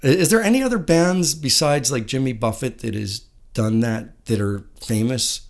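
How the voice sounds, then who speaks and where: A man's voice: mid-range voice, normal speed. A man hosting a casual music interview, friendly and rambling, with many uhs. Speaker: show host.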